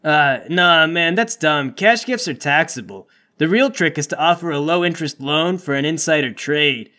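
A man's voice: a little high, normal speed, bright, forceful tone. A man voicing a laid-back, cocky cyborg vigilante with slangy bro talk in a comedic tabletop game. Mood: breezy, cocky.

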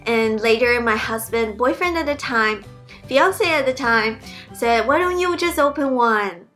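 A woman's voice: on the high side, expressive, a little slow, a bit bright, soft background music. A young woman with a Mandarin Chinese accent, talking through a Q&A in a casual, earnest way and often restarting her sentences. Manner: chatty, earnest, with frequent restarts. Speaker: YouTuber and PhD student. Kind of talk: casual Q&A vlog talk. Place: bedroom, indoors.

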